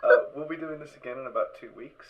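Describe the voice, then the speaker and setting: A man's voice: on the high side, fast. Guy hosting an online reading, rambling casual announcements, dull lo-fi mic with noise behind it. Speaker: host and organizer of an online dramatic reading. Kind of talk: casual livestream chat after a show. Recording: muffled, noisy online voice-chat stream.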